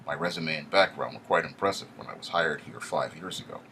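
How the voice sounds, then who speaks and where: A man reading a first-person story aloud in a steady, wry storytelling manner. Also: extremely low pitch, brisk, gravelly, slight background noise. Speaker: audiobook narrator. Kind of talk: first-person audiobook narration.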